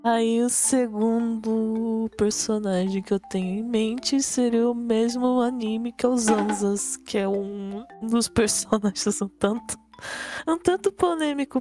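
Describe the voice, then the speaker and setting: A woman with a Brazilian accent chatting casually as a guest, pausing mid-phrase. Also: on the high side, mellow. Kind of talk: casual chat.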